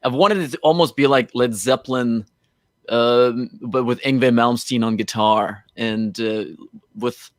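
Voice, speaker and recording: A man's voice: medium pitch, measured pace, dry recording, studio quality. A young man from Norway, a guest guitarist chatting casually on a video call, hesitant and often restarting his sentences. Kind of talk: casual chat. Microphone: webcam on video call.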